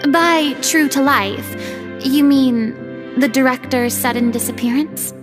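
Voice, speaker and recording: A woman voicing a theatrical, vain young noblewoman in a game cutscene, drawing out her words dramatically. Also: high voice. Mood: curious. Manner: dramatic, drawn-out, flattered. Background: background game music.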